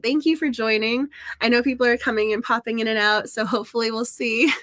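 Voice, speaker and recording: Chatty, encouraging woman streamer, higher-pitched, a bit nasal and hoarse from a cold. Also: sharp tone, no echo. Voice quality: a little nasal. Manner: chatty and encouraging, laughs often. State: getting over a cold, slightly hoarse. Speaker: livestream host of a writing session. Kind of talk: casual livestream chat.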